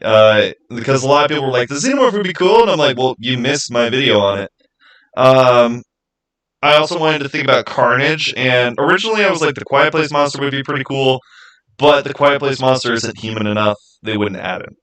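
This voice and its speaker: A man's voice: mid-range voice, expressive, fairly quick, a bit bright, rough recording. Casual rambling man hosting a livestream. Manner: rambling, informal, thinking aloud. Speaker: livestream host and game master. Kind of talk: casual livestream chat answering viewer questions.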